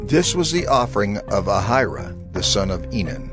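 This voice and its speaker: A man's voice: fairly low pitch, very animated, normal speed. A man reading scripture in a dramatized production, formal and instructive in his delivery. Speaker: scripture reader. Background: music.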